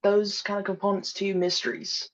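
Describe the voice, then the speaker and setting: The recording is dull and lo-fi, carrying a woman's mid-pitched voice. She is in her thirties and speaks casually as a podcast guest. Her phrasing is halting and hesitant as she gathers her thoughts. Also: little pitch movement. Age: 30s. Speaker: podcast guest, audio drama creator. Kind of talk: casual interview conversation.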